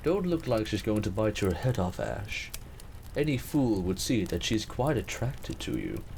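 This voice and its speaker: A man's voice: fairly low pitch, big pitch swings, unhurried, gravelly, a little mellow, a little hiss or hum, full character voice. A man playing a protective, commanding king in a period romance audio drama, speaking formally and measuredly. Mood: fond, teasing. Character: protective, commanding king, teasing but fond cousin.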